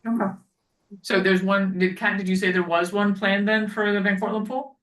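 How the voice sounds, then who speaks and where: A woman's voice: medium pitch, little pitch movement, normal speed, fairly bright tone. A woman chairing a committee meeting over a call, hesitant and trailing off with many fillers. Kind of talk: meeting discussion. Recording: echoey room, far from the mic.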